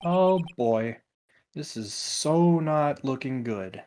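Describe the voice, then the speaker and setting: A woman's voice: very deep voice, expressive, slow, warm tone, a little hiss or hum, exaggerated voice. A woman voicing an anxious, earnest young male defense lawyer in a courtroom video game, hesitant and worried. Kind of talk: video game role-play.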